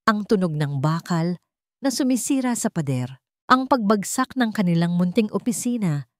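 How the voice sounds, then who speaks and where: A woman narrating a scripted drama story in a measured, dramatic storytelling manner. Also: mid-range voice, animated, no room sound, studio quality.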